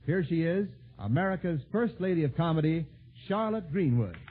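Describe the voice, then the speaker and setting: A man's voice: fairly high pitch, lively intonation, normal speed, narrow, tinny audio, very noisy. A man announcing from a script in the style of 1940s American network radio. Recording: thin, band-limited 1940s radio broadcast.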